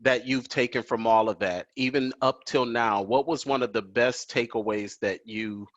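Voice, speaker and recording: A man's voice: medium pitch, fairly even intonation, moderate pace, fairly bright tone. A male host speaking in an ordinary middle register, admiring and deferential toward his guest. His voice comes through a dull, slightly lo-fi call line. The sound is dry, with no room echo. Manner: admiring, deferential, says "yes, ma'am". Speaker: talk show host. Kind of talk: remote video interview. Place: at home. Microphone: video call.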